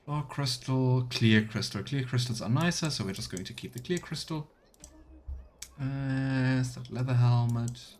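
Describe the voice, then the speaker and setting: A man giving casual let's play commentary as a streamer, halting and murmuring to himself as he thinks aloud. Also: medium pitch. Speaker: streamer playing a video game. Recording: clear background noise, keyboard clicks.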